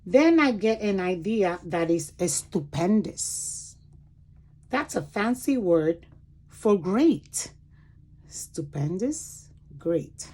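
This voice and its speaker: Lady teacher doing a kids read aloud, slow n expressive, stops to explain stuff. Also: medium pitch. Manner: expressive, deliberate, pausing to point out words and pictures. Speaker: teacher reading picture books aloud for children. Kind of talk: animated children's read-aloud with commentary asides.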